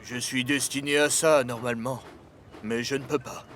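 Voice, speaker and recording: Un homme qui double une locomotive-zeppelin sérieuse et expressive, rêvant de voler, dans un dessin animé en français. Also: male voice, mid-range voice, moderate pace, a little hiss or hum, natural voice. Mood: earnest, wistful. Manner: earnest, expressive. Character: earnest male rail zeppelin engine, dreaming of flight. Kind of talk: French-dubbed children's cartoon.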